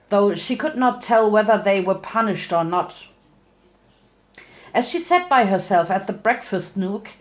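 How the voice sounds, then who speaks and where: A woman narrating fan fiction as a dramatic reading, occasionally stumbling and correcting herself. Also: mid-range voice.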